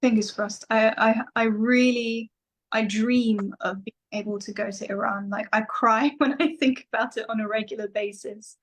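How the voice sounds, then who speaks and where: A woman's voice: on the high side, fairly even intonation, moderate pace. A young adult woman from England, a musician guest, talking in a casual interview with many fillers and restarts. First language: Farsi. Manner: reflective, with frequent fillers and restarts.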